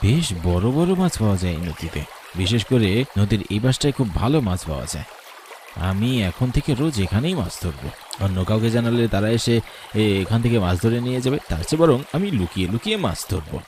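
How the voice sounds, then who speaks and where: A man's voice: fairly low pitch, big pitch swings, fast, darkish tone, slight background noise. A man acting a secretive, greedy village fisherman in a cartoon, musing to himself with satisfaction. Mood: sly, pleased.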